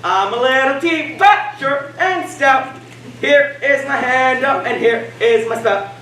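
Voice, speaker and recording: A woman's voice: sits high, slow pace, a bit bright. A woman on stage playing a boisterous, pompous dinner guest who tells absurd tales with theatrical emphasis. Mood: gleeful. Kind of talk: amateur stage comedy acting. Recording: echoey stage, distant mic, noisy.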